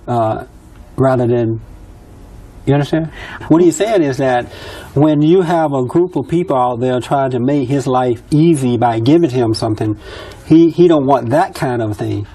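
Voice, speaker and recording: A man with a mellow, warm voice moderates a talk show discussion. He explains his points in a measured way and laughs easily. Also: mid-range voice.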